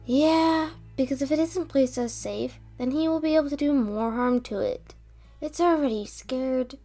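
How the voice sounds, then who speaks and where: A woman playing a stressed, protective female scientist in an audio roleplay drama, speaking deliberately with sighs. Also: high voice, dark tone, soft background music. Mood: worried.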